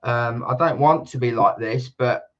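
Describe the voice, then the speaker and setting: A man talking casually as a guest, rambling and correcting himself, laughing often and getting louder and higher when worked up. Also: measured pace, highs cut off. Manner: gets loud and high when fired up. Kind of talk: casual podcast chat.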